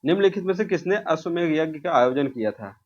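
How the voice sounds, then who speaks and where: A man's voice: on the high side, lively intonation, brisk, dull audio. A man from India teaches an informal exam revision lesson, posing questions, answering them himself and repeating key answers.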